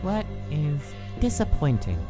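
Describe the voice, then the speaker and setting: A woman's voice: deep voice, big pitch swings, unhurried, music in the background. A woman voicing a clever, inquisitive boy skeleton test subject in a dramatic comic dub, with a childlike, questioning manner.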